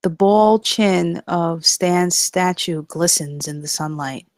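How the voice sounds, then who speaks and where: A woman's voice: fairly low pitch, slow, no echo, clean studio sound. A woman reading stage directions as narrator in a live scripted table read.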